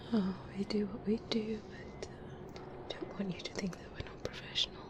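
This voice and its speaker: A woman playing a softly sinister, polite specialist doctor in an ASMR roleplay, hesitant and insinuating, in a breathy whisper with murmured hums. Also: medium pitch, steady tone, measured pace, close to an everyday voice. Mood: sly, reassuring.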